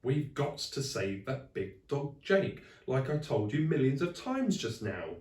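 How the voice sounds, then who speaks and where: Man putting on an earnest high-pitched little girl. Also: very animated, measured pace, darkish tone, far from the mic. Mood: urgent, pleading. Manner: urgent, exclamatory. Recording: echoey room, away from mic.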